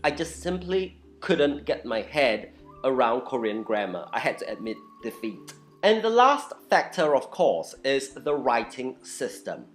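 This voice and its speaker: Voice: mid-range voice, sing-song, measured pace, soft background music. An opinionated vlogger of unclear sex giving an explainer talk in a candid, blunt, informal way, stressing points with dramatic repetition. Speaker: vlogger and language enthusiast.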